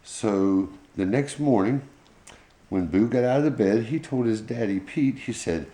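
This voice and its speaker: A man with a low, very mellow voice tells a homespun bedtime story. His phrasing is folksy and off the cuff, and the room adds a noticeable echo around him. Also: a little slow. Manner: folksy, colloquial grammar. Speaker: pet channel host telling a bedtime story. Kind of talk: informal improvised children's storytelling.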